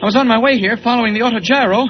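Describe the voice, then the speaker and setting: An actor playing a modest, mild-mannered heroic newspaper reporter in a 1940s radio serial. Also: male voice, very high-pitched, racing. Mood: casual, modest. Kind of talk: 1940s radio drama acting. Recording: muffled, noisy old radio transcription.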